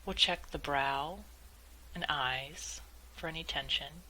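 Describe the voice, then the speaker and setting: A woman leading a guided meditation in a measured, gently instructive way, as calm spoken instruction. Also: sits low, poor audio.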